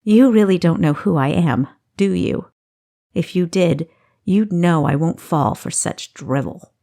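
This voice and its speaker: A woman playing a sharp-tongued, sarcastic young female adventurer in a fantasy story, full of scorn. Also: lowish voice, measured pace. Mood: mocking.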